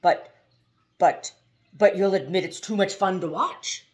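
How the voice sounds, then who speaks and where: A woman voicing an enormous, jolly male bus driver with a booming voice and stuttering repeats. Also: measured pace, close to an everyday voice. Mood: gleeful, booming.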